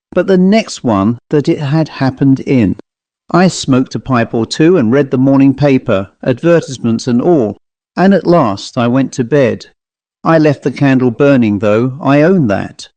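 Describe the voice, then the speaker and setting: A man's voice: medium pitch, expressive, a little slow, a little mellow, no room sound, highs cut off. A man voicing a plain-spoken travelling salesman telling a grim storybook tale in a dry, colloquial, conversational manner. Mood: uneasy, confiding. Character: haunted male commercial traveller, plain-spoken teller of grim tales. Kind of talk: dramatised storybook monologue.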